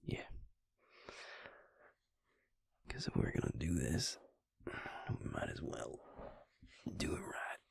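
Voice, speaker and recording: A man acts out a gentle, affectionate male vampire in an intimate audio roleplay. His voice is low and half-whispered, with a heavy gravelly fry. He lingers tenderly, hesitating between phrases. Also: big pitch swings, dry recording, studio quality, heavily acted. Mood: tender, cozy. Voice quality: breathy, husky, gravelly low murmur. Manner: slow, hesitant, tender, with long pauses.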